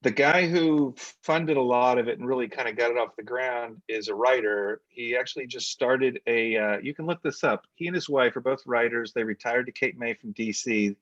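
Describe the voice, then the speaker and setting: A man's voice: medium pitch, moderate pace, few pauses, fairly bright tone, no echo. Man, Northeastern accent, rambling casual talker, dry close sound, slightly dull recording. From United States. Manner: talkative, rambling storyteller. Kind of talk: casual chat. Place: home studio in South Jersey.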